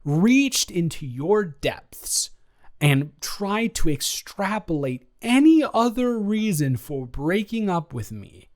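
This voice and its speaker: A man's voice: high voice, big pitch swings, slow pace, heavily acted. A man playing an earnest, flustered ex-boyfriend in an intimate improvised audio roleplay, stammering and trailing off as he talks. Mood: incredulous, hopeful.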